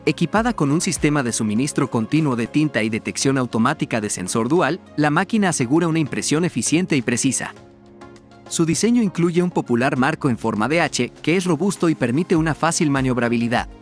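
A man narrating a scripted promotional explainer in a measured, formal read-out manner. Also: fairly high pitch, lively intonation. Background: background music.

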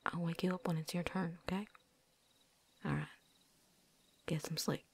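A woman's voice: on the low side, steady tone, normal speed, a little mellow, a little hiss or hum. Woman acting a whispering, tough female vampire hunter. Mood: low, steady. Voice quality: breathy, whispery. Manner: folksy drawl with dropped endings, put-on accent. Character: tough, world-weary female vampire hunter, blunt and loyal. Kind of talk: whispered ASMR roleplay.

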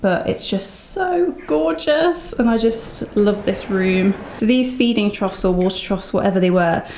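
An English woman in her thirties talks casually to her viewers in an enthusiastic, gushing way. Her voice sits at an average pitch. The recording is echoey, with some noise behind her. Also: animated, a little slow, a little mellow. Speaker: vlogger. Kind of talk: casual vlog tour, chatty. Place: home room, car, brick barn and yard. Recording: echoey rooms, noticeable background noise.

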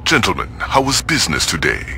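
An actor playing a menacing gang boss in a video game, speaking with formal, coldly polite measure. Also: male voice, lowish voice, wildly swooping pitch, brisk, sharp tone, full character voice. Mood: cold, measured. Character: menacing, courteous gang boss called the general. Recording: clearly noisy, car interior ambience.

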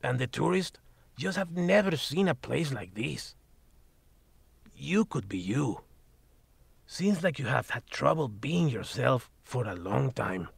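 A man voicing a sardonic magical talking cat who mentors the family, blunt and lecturing, husky in tone. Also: medium pitch, very animated, slow, dry recording, exaggerated voice. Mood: wry. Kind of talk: audio drama acting.

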